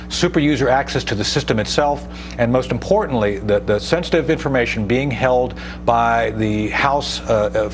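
A man's voice: mid-range voice, a little hiss or hum. An American man in his fifties giving emphatic, explanatory commentary as a guest in a live TV news interview. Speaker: guest commentator, retired army officer. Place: TV news studio. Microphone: lapel mic.

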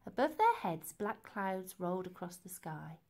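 Animated woman reading a storybook. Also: fairly low pitch, unhurried. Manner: dramatic, emphatic phrasing. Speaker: storybook reader. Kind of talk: expressive children's story narration.

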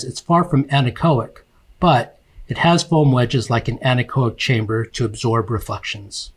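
A man reading scripted instructional narration for a technical slide presentation, explaining in measured, separate phrases. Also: mid-range voice, breathy edge.

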